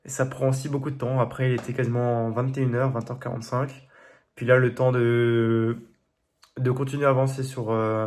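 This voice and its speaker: Young male vlogger with a head cold, rambling casual diary talk, phone mic. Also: medium pitch. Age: young adult. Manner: rambling, many fillers and restarts. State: congested with a cold. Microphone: phone held facing him.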